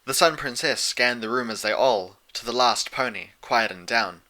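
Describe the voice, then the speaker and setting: A man reads fiction as a narrator. His pitch sits in the middle and his tone is strikingly bright and crisp-edged, in a scripted storytelling style. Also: normal speed. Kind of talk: fan fiction audiobook narration.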